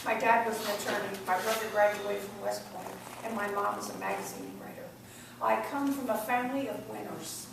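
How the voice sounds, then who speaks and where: Lady reading as bossy sarcastic girlfriend, grilling her guy, clearly noisy recording from far off. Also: medium pitch, fairly quick, close to an everyday voice. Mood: scornful, boastful. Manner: pushy, interrogating, sarcastic. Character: brash, domineering girlfriend who demands a winner. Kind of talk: amateur script read-through. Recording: echoey room, far mic, noisy.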